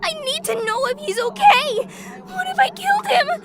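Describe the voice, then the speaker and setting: Whiny, high-pitched fox girl. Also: animated, normal speed, very noisy. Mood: frantic pleading. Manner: whiny, casual youthful phrasing. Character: anxious, blubbering, lovestruck fox girl, sulky and flattering. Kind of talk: audio drama acting.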